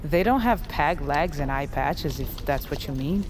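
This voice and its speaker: A woman's voice: sits low, animated, unhurried. An actress playing a blunt, unflappable crew woman who translates for pirates in an audio drama. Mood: wry, frank.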